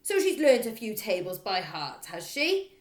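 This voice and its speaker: Woman acting a storybook tyrant headmistress, loud barking delivery, contemptuous, wildly swooping pitch, roomy echo. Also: very animated, a little slow. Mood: snapping, scornful. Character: bullying, child-hating tyrant headmistress, middle-aged woman.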